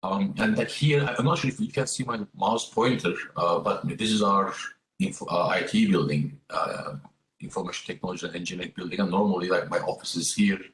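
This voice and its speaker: A man's voice: sits low, brisk, fairly bright tone, echoey room, rough recording. A man from Turkey with a Turkish accent, presenting a graduate program at a virtual open house in an informal way, with many fillers. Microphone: video call.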